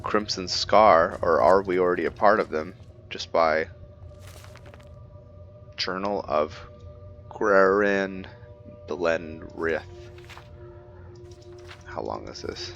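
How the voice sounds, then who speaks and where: A man playing a roleplay game who reads in-game journals aloud haltingly and keeps correcting himself. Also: low-pitched, animated, creaky, a bit bright, highs cut off, faint music behind. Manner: halting reading with frequent self-corrections. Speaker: gamer playing and narrating.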